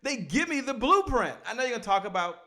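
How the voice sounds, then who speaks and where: A middle-aged man with a fairly high voice hosts a relaxed interview from his home studio. He speaks into a close boom microphone and talks with lively energy, gesturing as he goes. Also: fast. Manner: animated, gestures with hands while talking. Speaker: show host. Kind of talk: casual green-room interview. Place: home studio with poster behind. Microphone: studio mic on boom arm.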